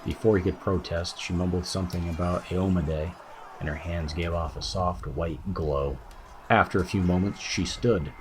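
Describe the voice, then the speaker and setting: A man's voice: low voice, steady tone, moderate pace, noisy background. A man giving a scripted narration of a fantasy audiobook as its narrator.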